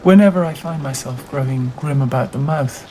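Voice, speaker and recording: A narrator reading a classic novel aloud in a measured, deliberate way with dramatic phrasing. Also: mid-range voice, warm tone, poor audio. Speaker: audiobook reader. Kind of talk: scripted literary narration. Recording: audible background noise.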